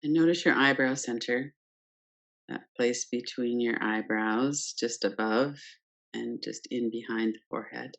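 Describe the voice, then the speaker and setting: A woman teaching guided meditation, speaking calmly and gently in short phrases with pauses between them. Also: rumbling low, creaky, rough recording. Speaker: yoga nidra meditation teacher. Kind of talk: guided meditation, unscripted instruction.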